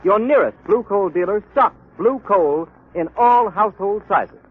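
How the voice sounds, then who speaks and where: Animated, high-voiced 1930s radio announcer. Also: male voice, measured pace, slightly rough. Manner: formal, emphatic, declamatory. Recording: dull, hissy 1930s radio recording.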